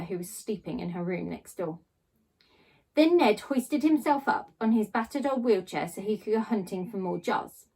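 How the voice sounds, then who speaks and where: A woman reading a children's story aloud in a theatrical way, with dramatic pauses and drawn-out emphasis on key words. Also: mid-range voice, a little mellow, breathy edge, poor audio. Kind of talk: expressive children's storybook narration.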